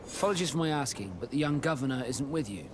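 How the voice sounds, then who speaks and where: An actor as a stern ship's captain, noisy background. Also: male voice, medium pitch, animated, close to an everyday voice. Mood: formal, firm. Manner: formal, measured, commanding phrasing. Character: stern, formal middle-aged male ship's captain, protective of crew. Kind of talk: video game dialogue acting.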